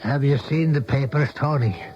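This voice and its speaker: An actor playing a rough, loyal, blunt-talking sidekick to a city boss in a radio drama. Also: male voice, mid-range voice, moderate pace, music in the background, close to an everyday voice. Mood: dry, amused. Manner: colloquial, blunt. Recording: dull vintage radio drama recording.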